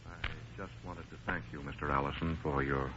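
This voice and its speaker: An actor playing a bereaved son, subdued, formal and polite, in a 1930s radio drama. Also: male voice, extremely low pitch, steady tone, fairly quick, fairly bright tone. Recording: muffled hissy 1930s radio recording.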